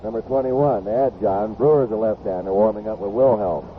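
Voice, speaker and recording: A man's voice: fairly low pitch, fairly quick. Tinny vintage radio baseball announcer, male. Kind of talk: live sports play-by-play commentary. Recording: noisy tinny vintage 1970s radio broadcast.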